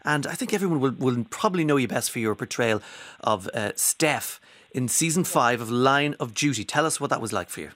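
A man hosting a morning radio show, chatting casually and briskly with guests. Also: medium pitch, lively intonation, a bit bright, no echo.

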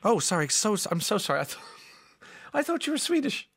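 A man's voice: high voice, big pitch swings, fairly quick, creaky, slightly rough. A man hosting a morning radio show, reading listener texts and chatting with a caller. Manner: chatty, warm banter with callers. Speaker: radio host.

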